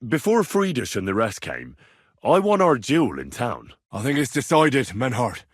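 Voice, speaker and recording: A man's voice: medium pitch, wildly swooping pitch, normal speed, breathy edge, no echo, studio quality. An actor playing a plain-spoken, earnest and sometimes cheeky young Bohemian swordsman in a medieval video game. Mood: earnest, resolute. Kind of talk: video game voice lines, naturalistic dramatic acting.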